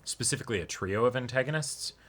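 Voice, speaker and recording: A man's voice: mid-range voice, expressive, fast talker, fairly bright tone. A man co-hosting a reaction show in casual discussion, speaking in an agreeable, conversational way.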